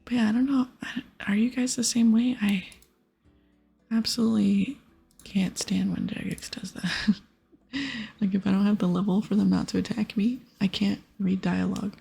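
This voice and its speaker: A woman softly whispering an ASMR video game playthrough, mixing hushed, unhurried reading with casual asides. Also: mid-range voice, fairly even intonation, soft background music. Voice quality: breathy, soft whisper. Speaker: streamer reading game dialogue aloud.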